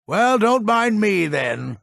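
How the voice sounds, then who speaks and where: An actor playing a grumpy, proud old Nordic townsman in a fantasy video game, gruff and blunt, with a gravelly, husky voice. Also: high voice, very animated, slow pace, fairly bright tone, dry recording, clean studio sound, heavily acted. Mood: bitter, grumbling. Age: older.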